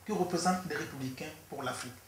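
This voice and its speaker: Higher-voiced male interviewer, echoey. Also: quick pace. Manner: hesitant, with many fillers. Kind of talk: interview questions. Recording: echoey room with faint noise.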